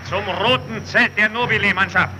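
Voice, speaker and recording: Icebreaker captain male actor: commanding, terse, gruff, higher-pitched, on a hissy vintage radio play recording. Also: moderate pace, close to an everyday voice. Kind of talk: German radio play acting. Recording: noisy old radio recording.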